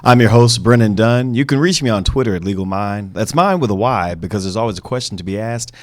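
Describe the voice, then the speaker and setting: A man's voice: on the low side, brisk, dry recording. A man hosting a legal discussion podcast, talking conversationally with frequent fillers and restarts. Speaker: podcast host.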